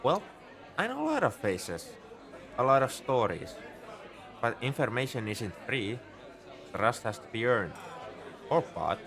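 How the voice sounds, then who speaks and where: A man's voice: mid-range voice, very animated, a little slow, pauses often. A man playing a shrewd, easygoing bartender at a space outpost in a conversational, knowing ASMR roleplay monologue. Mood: knowing, bargaining. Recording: crowd chatter behind the voice. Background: bar crowd murmur.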